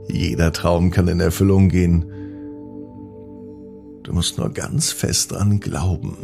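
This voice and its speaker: A man narrating a bedtime story for young children in an expressive, gently drawn-out storytelling manner. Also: sits low, gravelly.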